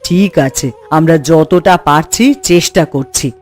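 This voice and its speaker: A woman's voice: deep voice, slow pace, darkish tone, faint music behind. A woman playing a modest, honest village sister-in-law and cook in a cartoon. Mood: earnest, resigned. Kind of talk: Bengali village cartoon voice acting.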